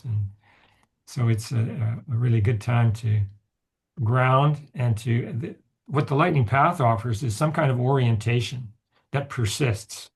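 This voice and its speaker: A man with a raspy voice who hosts a group video call. He rambles casually, restarting often. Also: medium pitch, darkish tone. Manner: rambling, with many restarts and chuckles. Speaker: host and mythologist.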